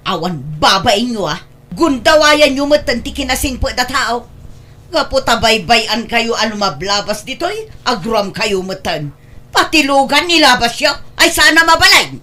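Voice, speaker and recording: A sharp, high-voiced village matriarch, played by a woman in an Ilocano comedy drama. She is suspicious and quarrelsome, scolding and accusing in an Ilocano accent as she guards what is hers. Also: lively intonation, unhurried, heavily acted. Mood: suspicious, grumbling. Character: suspicious, quarrelsome older village mother guarding her garden. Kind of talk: Ilocano comedy radio-style drama acting.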